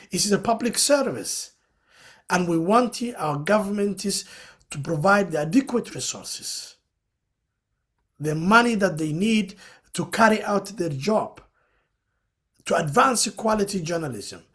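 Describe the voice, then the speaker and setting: A man from Somalia, speaking as a panelist in a webinar discussion. He explains his points earnestly and fills his sentences with "you know". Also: high voice.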